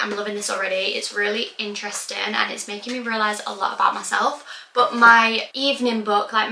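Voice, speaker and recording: A young woman in her twenties with a croaky voice, rambling casually and swearing a bit as she talks to her camera. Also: mid-range voice, pushed and bright, echoey room, a little hiss or hum. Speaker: vlogger. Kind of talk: casual chatty vlog monologue. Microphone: camera mic.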